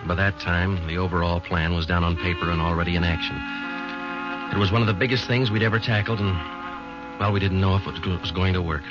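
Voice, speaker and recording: An actor playing a calm, matter-of-fact police sergeant who narrates a 1940s radio drama in a flat, clipped delivery, on a dull old broadcast recording. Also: male voice, low voice, brisk, music in the background, lightly acted.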